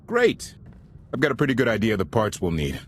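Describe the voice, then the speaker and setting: A man's voice: fairly low pitch, big pitch swings, brisk. A man playing an earnest, idealistic deserter warship captain in a video game, talking in a casual, sincere way. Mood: upbeat, confident.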